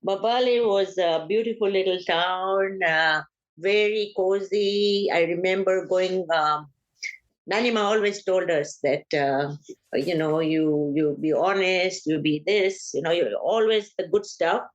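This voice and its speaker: An older woman from Uganda, a family elder being interviewed, talking in an unhurried, hesitant way with frequent pauses. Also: fairly low pitch, lo-fi sound.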